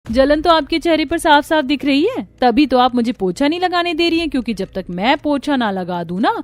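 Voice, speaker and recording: A woman voicing a proud, jealous young daughter-in-law in an animated family story, arguing emphatically. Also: high-pitched, fairly quick, dry recording. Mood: quarrelsome. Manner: emphatic, argumentative.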